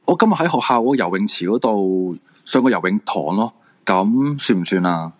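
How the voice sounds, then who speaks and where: A man's voice: lowish voice, expressive, moderate pace. A man playing a blunt, sceptical husband and swimming coach in a radio drama, with a Hong Kong Cantonese accent. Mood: matter-of-fact.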